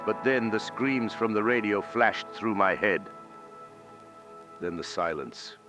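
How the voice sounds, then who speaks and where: Deep, haunted war survivor over music. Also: male voice, animated. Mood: grave, haunted. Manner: grave, measured, brooding. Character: haunted male survivor, an aspiring journalist, solemnly recounting terror. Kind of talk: dramatic scripted film narration. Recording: narration under loud film music. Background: loud cinematic music.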